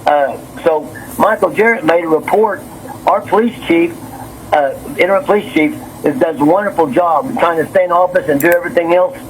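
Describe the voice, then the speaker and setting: Nervous male caller, somewhat high-pitched, wordy and stumbling, on a faintly noisy phone call. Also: a little fast. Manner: agitated, repetitive, stumbling over words. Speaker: city councilman and business owner on a phone call. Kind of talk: rambling phone conversation. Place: on a telephone.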